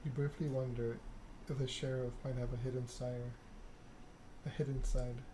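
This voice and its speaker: A man softly narrating a story game in ASMR style, reading in a measured, deliberate way. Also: medium pitch, little pitch movement. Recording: clearly noisy background ambience.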